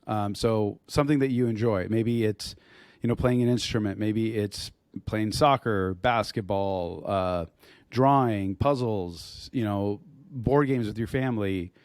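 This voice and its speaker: A man co-hosting a podcast in casual chat, his voice hoarse as he loses it, talking in a rambling way with restarts and throat clearing. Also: lowish voice, measured pace, darkish tone, no room sound. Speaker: podcast co-host.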